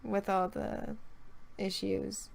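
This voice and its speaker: A woman's voice: mid-range voice, little pitch movement, takes it slowly, gravelly, a little mellow. A young woman joining a casual chat as a guest, playful and opinionated, joking as she talks. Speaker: guest co-host.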